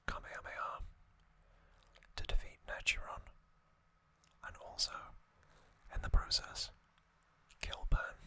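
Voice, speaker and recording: A man hosting ASMR, recapping a story in a breathy, unvoiced whisper with a gentle, halting delivery. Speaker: ASMR host.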